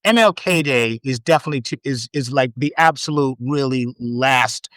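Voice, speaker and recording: Halting, wry man with an American accent. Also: medium pitch, fairly bright tone, no room sound, studio quality. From Trinidad. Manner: halting, many restarts and fillers, wry humor. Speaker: podcast guest. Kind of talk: casual chat.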